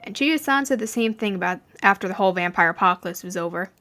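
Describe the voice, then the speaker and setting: A woman voicing a young male mafioso, stern but gently reassuring, in a fan fiction reading. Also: medium pitch, animated, fast. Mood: calm, explaining.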